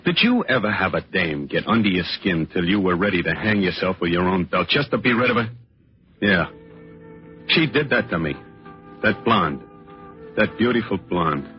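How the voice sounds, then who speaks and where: American man, nervy tough-talking salesman, lowish voice, very lively delivery, 1940s radio thriller, music underneath. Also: moderate pace. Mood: haunted, agitated. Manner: agitated, slangy, tough-talking. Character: jittery, slangy tie salesman confessing his obsession. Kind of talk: 1940s radio noir narration and acting. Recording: dull vintage radio recording.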